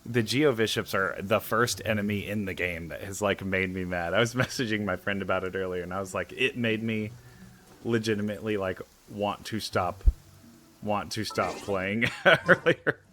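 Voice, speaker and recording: A man's voice: fairly low pitch, moderate pace, bright, forceful tone. A man giving casual, rambling let's play commentary in an informal way.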